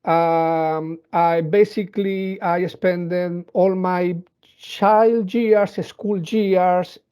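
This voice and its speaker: Slow, hesitant man with Latin American Spanish accent. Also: sits high, fairly even intonation, dark tone, clean tone. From Venezuela and Colombia. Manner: hesitant, many fillers and restarts. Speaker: robotics engineer addressing school pupils. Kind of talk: educational talk.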